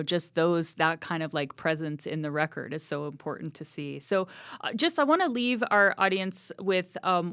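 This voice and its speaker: A woman interviewing a guest on public radio, asking long, searching questions with many pauses and fillers. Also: lowish voice, clear, resonant tone, no room sound, studio quality.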